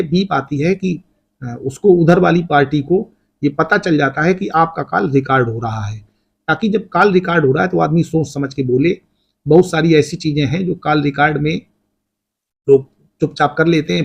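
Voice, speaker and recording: An Indian man speaks as a lawyer addressing his viewers. His voice sits slightly high and has a husky, rough-edged sound. He explains legal points deliberately, going back over terms and restating them. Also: darkish tone. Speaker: lawyer giving legal advice.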